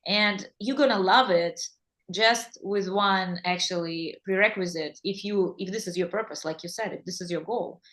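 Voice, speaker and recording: A woman's voice: mid-range voice, moderate pace, a bit bright. A woman with a Russian accent hosting an interview in English, chatty and enthusiastic. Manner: enthusiastic, chatty, laughs easily. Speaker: interview host and English teacher. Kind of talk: casual interview chat.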